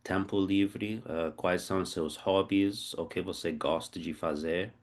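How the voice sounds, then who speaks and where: A man acting as host and teacher, asking slow, simple questions in a casual oral interview. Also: low voice, steady tone.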